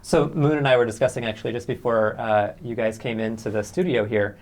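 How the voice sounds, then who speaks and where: A man's voice: medium pitch, steady tone, brisk. A man hosting a studio interview, asking questions in a hesitant, roundabout way. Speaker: co-host interviewer.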